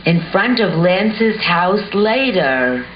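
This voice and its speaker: A woman's voice: lowish voice, very animated, slow. A woman reading scripted narration for an amateur puppet show. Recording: muffled audio with faint background noise.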